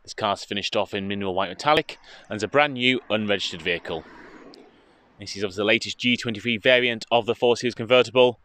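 A British man giving an informative, steady walkaround presentation as a car dealership presenter, speaking into a handheld phone outdoors. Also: fairly low pitch, fast, slight background noise. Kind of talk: walkaround product presentation, semi-improvised commentary. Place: outdoors in a car park, partly inside a car.

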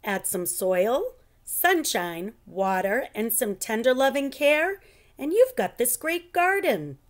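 A woman voicing an eager, boastful, excitable schoolboy in a children's storybook. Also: a little high, slow, pitched above the performer's own voice. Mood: boastful, excited.

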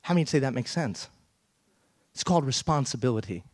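A middle-aged man delivers an emphatic, rhetorical keynote from a big theater stage. He speaks through a headset mic at a middle pitch, and his voice sounds close and dry. He keeps turning to the audience with questions. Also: lively intonation, fairly quick, darkish tone, dry recording. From Iran. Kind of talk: motivational keynote speech.

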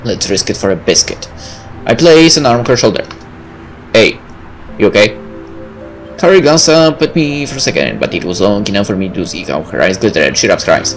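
A man's voice: on the low side, very animated, fairly quick. A man reading a visual novel aloud as a gameplay host, breaking in with casual, joking, sweary asides. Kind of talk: let's play narration with casual asides. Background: game music.

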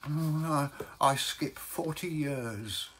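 A man voicing a meek, sorrowful baker in a storybook verse reading, telling his woe in a halting, mournful, mild way. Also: mid-range voice, lively intonation, a bit bright, a little hiss or hum, voice pushed up. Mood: tearful, halting.

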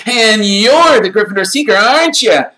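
A man voicing an excitable young schoolboy fan who gushes out breathless questions. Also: very high voice, voice pushed up. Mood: eager. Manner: breathless, gushing, rapid questions. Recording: roomy echo.

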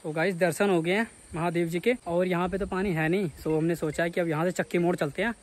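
A man's voice: high voice, fairly even intonation, fast. A man with a North Indian accent narrating a casual travel vlog outdoors, talking to viewers in a colloquial, exclamatory way. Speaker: vlogger. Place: outdoors, much of it on a moving motorbike. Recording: noisy outdoor action camera, vehicle and street noise.

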